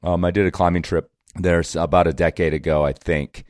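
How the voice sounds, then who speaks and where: A man with a low, deep voice hosts a podcast in a casual, conversational style. The sound is dry, with no room around the voice. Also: level tone, a little fast, dry recording. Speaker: podcast host. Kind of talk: casual chat.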